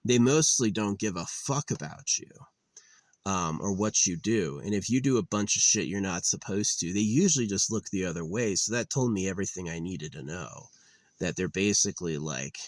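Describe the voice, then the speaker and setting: A man's voice: low voice, normal speed, no room sound. A man in his late thirties musing in a rambling, weary monologue, with lots of fillers and restarts. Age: 30s. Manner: weary, resigned. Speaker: channel host.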